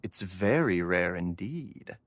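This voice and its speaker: A man's voice: low-pitched, expressive, slow pace, no echo. A man voicing a courteous, scholarly pharmacist in a video game, speaking in a polite, formal, musing manner. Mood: informative.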